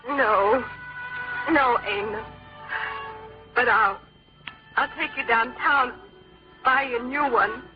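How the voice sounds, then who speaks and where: A woman playing a calm, generous, indulgent mother in a vintage radio drama. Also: a little high, takes it slowly, pauses often, soft background music. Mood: fond, decided. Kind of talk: 1950s radio drama acting. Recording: muffled vintage radio broadcast.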